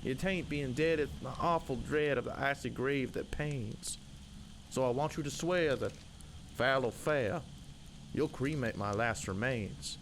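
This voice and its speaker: A man's voice: mid-range voice, unhurried, lightly acted. Man acting folksy, plaintive frozen prospector, noisy backdrop. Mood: pleading, miserable. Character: freezing, dread-filled Southern prospector pleading with his pal. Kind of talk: dramatic verse storytelling. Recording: loud background noise and ambience.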